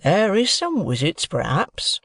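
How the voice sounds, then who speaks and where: Slow kindly country smith, drawling dialect, a bit raised, guy doing the voice. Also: sits high, wildly swooping pitch, a bit bright, slightly rough, no echo, studio quality, pitched above the performer's own voice, full character voice. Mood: deliberate. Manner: slow, deliberate rustic dialect speech. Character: slow, kindly rustic blacksmith man.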